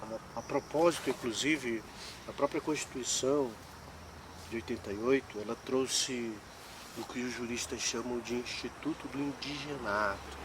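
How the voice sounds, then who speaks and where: A man's voice: mid-range voice, normal speed, noisy background. A Brazilian man interviewing, speaking formally and at length in legal terms. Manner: formal, long-winded, legalistic phrasing. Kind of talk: interview questions.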